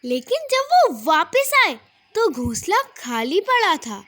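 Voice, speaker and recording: A woman's voice: very high voice, sing-song, slow pace, a bit bright. A woman narrating a scripted fable for children as a storyteller.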